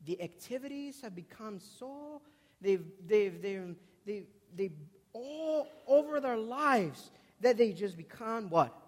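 A man in his twenties preaches in a very high-pitched voice, ringing through a hall with long reverb. His delivery is emphatic, repeating key words and moving between loud stresses and quieter asides. He often puts questions to the congregation. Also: expressive, slow pace. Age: 20s. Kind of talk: sermon with questions put to the congregation. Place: large indoor hall before a congregation.

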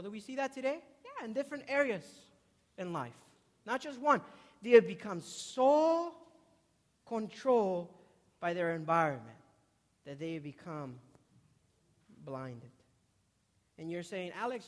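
A man in his twenties preaching a sermon emphatically to a congregation, repeating key words and putting questions to his listeners. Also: sits high. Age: 20s. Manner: emphatic, repeating key words, swinging from loud stresses to hushed asides. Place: large indoor hall before a congregation. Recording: reverberant hall, long echo.

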